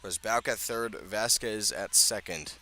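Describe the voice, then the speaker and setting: A man doing live radio baseball play-by-play, speaking in measured short phrases with pauses between them. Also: lowish voice, pushed and bright, a little hiss or hum. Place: outdoors at a ballpark.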